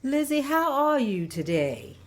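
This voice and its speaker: A woman playing a genteel, compassionate lady of the house in a measured, proper manner at a table read. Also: sits high, very animated, a little hiss or hum. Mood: gently probing.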